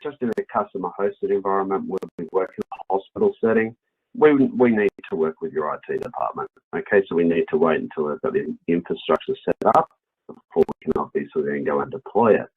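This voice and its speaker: A man's voice: deep voice, fairly quick, husky. An Australian man with an Australian accent presenting a webinar walkthrough in a conversational, explanatory way. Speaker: company product presenter. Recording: dull, lo-fi webinar audio.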